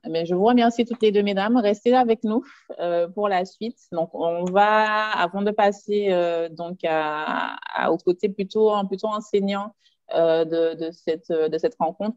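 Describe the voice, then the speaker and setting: A woman's voice: lowish voice, darkish tone, dry recording. A woman moderating an online panel in a chatty, encouraging way over a video call. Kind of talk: hosting a video-call discussion. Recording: dull, lo-fi video-call audio.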